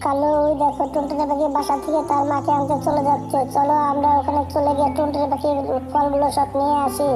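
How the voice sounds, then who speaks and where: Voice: high-pitched, monotone, quick pace, hardly any pauses, natural voice. A performer voicing talking birds in a children's cartoon story, with a dramatic delivery. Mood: scheming. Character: cartoon birds: scolding mother, scheming aunt, pleading children. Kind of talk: Bengali cartoon story dialogue. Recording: music behind the voice. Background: background music.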